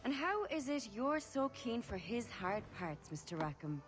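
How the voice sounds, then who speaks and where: A woman's voice: a little high, big pitch swings, unhurried, over music. A woman playing a bawdy, flirtatious pirate woman in a video game, sly and suggestive. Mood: teasing, flirtatious. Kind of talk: video game cutscene acting.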